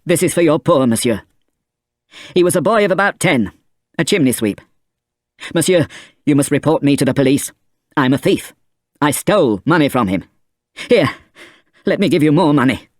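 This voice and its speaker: A man's voice: on the high side, expressive, normal speed, long pauses, no echo, studio quality, pitched above the performer's own voice. A man reading aloud and voicing a remorseful grown man, a former convict, who pleads urgently. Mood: desperate pleading.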